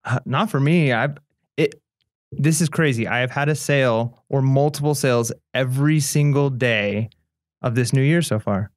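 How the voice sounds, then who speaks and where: A relaxed, agreeable man from California co-hosts a casual podcast at an average male pitch. His voice comes through dry and close, with no room sound around it. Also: normal speed, no echo. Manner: relaxed and agreeable, with small "mm" backchannels. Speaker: podcast co-host. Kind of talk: casual chat.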